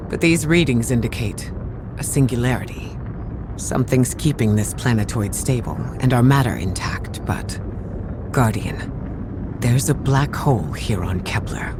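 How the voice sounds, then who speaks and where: An actor playing a calm, analytical mission guide and scientist in a video game, speaking in a measured, articulate, earnest way. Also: male voice, medium pitch, background noise, lightly acted. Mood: grave wonder. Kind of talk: scripted game dialogue. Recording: music behind the voice.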